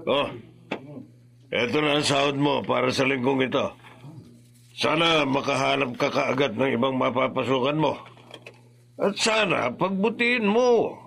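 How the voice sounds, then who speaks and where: Construction boss in a radio drama, stern yet sympathetic, measured and gravelly. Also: male voice, medium pitch, a bit bright. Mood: firm, regretful. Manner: stern but sympathetic, matter-of-fact. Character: firm, regretful construction foreman, adult man.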